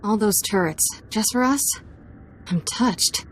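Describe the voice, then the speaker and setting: A wry, irreverent sci-fi sidekick played by a woman, medium in pitch and sardonic in delivery. It is video game acting over faint noise. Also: slow, lightly acted, poor audio. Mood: sarcastic.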